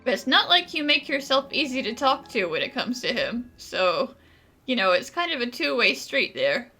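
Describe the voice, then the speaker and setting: A woman's voice: fairly high pitch, big pitch swings, normal speed, sharp tone, heavily acted. A woman playing a sarcastic, blunt young female companion in a video game, with dry teasing delivery. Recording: music under the voice.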